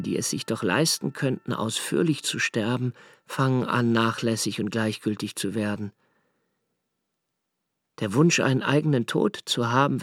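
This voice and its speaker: An actor playing an anxious, brooding young writer in a literary radio drama monologue, intense and deliberate in delivery. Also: male voice, mid-range voice. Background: music.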